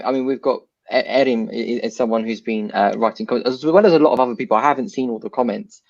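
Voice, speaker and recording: A man from South London, a guest in a casual discussion, talking in an animated, thoughtful way with frequent restarts. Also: medium pitch, lo-fi sound. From South London, England.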